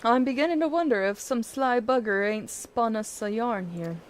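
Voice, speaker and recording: A woman's voice: fairly high pitch, moderate pace, close to an everyday voice. A woman voicing a superstitious old sailor man in dramatic, piratical dialect, reading out game dialogue. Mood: brisk, grumbling.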